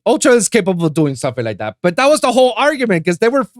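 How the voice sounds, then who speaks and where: A man's voice: high-pitched, racing, no echo, clean studio sound. A man from Puerto Rico, a native Spanish speaker with a Spanish accent, hosting a podcast and telling stories animatedly with lots of restarts. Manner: animated storyteller with frequent restarts. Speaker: podcast host. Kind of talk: casual chat.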